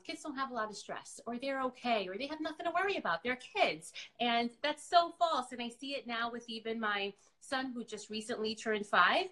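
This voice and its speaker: A woman's voice: on the high side, pushed and bright. A woman whose first language is Spanish, chatting and explaining as a counselor guest in a casual interview.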